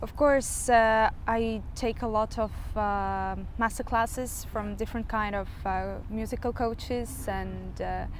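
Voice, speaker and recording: Slow, halting woman, a coloratura soprano singer. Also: on the high side, fairly even intonation, a little hiss or hum. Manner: halting, many fillers, searching for words. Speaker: interview guest, singer. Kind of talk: casual interview answers.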